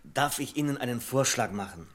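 A man's voice: medium pitch, lively intonation, natural voice. Casting: male actor as level-headed bank employee, courteous but persistent, mid-range voice, radio play style. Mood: polite, insistent. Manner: polite, measured, insistent. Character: level-headed, deferential male bank clerk, quietly scheming. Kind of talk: German radio play acting.

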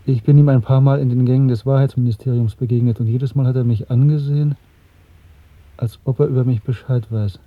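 Guy playing brooding serious man confiding in his lover, radio drama, bit husky, tinny narrow old recording. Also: medium pitch, fairly even intonation, fairly quick, no room sound, lightly acted. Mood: pensive, earnest. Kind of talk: German radio play acting.

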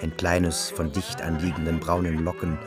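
A man's voice: deep voice, level tone, moderate pace, lightly acted. A man narrating a literary radio play in scripted prose, acting as its storyteller. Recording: music behind the narration. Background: music.

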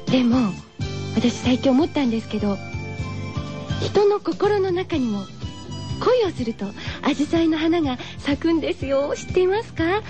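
High-pitched girlish woman radio host. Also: animated, normal speed, music in the background. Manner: gentle, girlish musing with rhetorical questions to listeners. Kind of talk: chatty solo radio talk, reading listener postcards.

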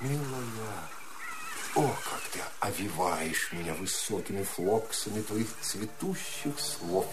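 An actor playing an enraptured, melancholy poet in a radio play, declaiming love letters solemnly and tenderly. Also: male voice, fairly low pitch, lively intonation, slow pace, noisy background. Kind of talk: poetic radio play monologue.

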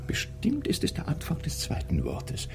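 An excitable, commanding male detective in a radio play. His pitch swings dramatically and his voice has a creaky edge. He slips often into hushed, theatrical murmuring. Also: moderate pace, music in the background, full character voice. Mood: hushed, intent. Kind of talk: German radio drama acting.

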